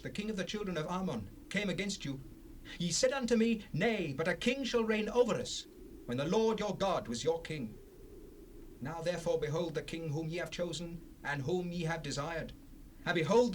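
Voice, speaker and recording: A man's voice: high-pitched, lively intonation, moderate pace. A man playing an old, stern, righteous prophet in a dramatized scripture recording, speaking in solemn, formal declamation. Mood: impassioned. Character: old grey-headed prophet, stern, righteous leader addressing his people. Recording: noisy cassette rip, distant voice.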